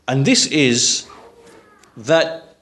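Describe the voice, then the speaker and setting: A man's voice: mid-range voice, extremely slow, fairly bright tone. A man teaching in an explanatory religious lecture, speaking didactically and checking that listeners follow with questions.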